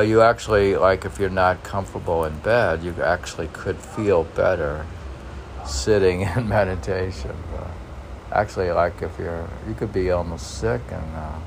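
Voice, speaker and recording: Guy reading poems n musing out loud, real slow n gravelly, bit of background hum. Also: deep voice, steady tone. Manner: halting, musing, self-questioning. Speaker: poet reading and commenting on own poems. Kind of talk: poetry reading with rambling informal commentary.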